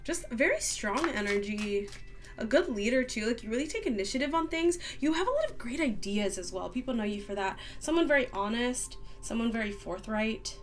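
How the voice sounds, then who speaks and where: A woman reading tarot cards in a casual, upbeat monologue, a little scratchy as she gets over a cold, with many soft "um"s. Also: on the high side, expressive, brisk, soft background music. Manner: soft, upbeat, lots of "um" and "kind of". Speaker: tarot card reader.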